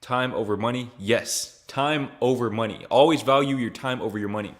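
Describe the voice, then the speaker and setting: A young man answering his viewers' questions in a loose, easygoing way. He speaks at an ordinary, mid-range pitch. His slang and repeated phrases keep it sounding like a chat with a friend. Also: moderate pace. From Louisiana, raised in Texas. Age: young adult. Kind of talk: casual Q&A vlog.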